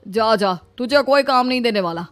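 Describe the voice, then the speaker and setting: A woman's voice: a little high, moderate pace, fairly bright tone, faint music behind, natural voice. A woman voicing a blunt, grumbling young son-in-law in a cartoon story.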